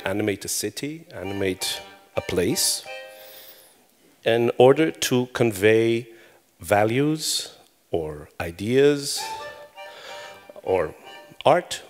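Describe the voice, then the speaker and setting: Chatty middle-aged man, lowish voice. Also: expressive, slow, music in the background. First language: Hebrew. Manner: chatty, self-deprecating, frequent restarts and fillers. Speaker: conference speaker. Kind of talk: conference talk. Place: conference hall before an audience.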